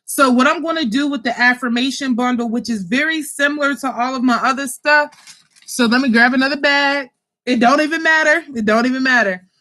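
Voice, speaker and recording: A high-pitched woman chatting casually to her viewers about spiritual kits she sells. She is animated and talkative, swears freely and keeps calling her audience y'all. She comes from Pittsburgh. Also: fairly quick. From Pittsburgh, USA. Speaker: spiritual kit seller and channel host. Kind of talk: casual livestream chat to viewers.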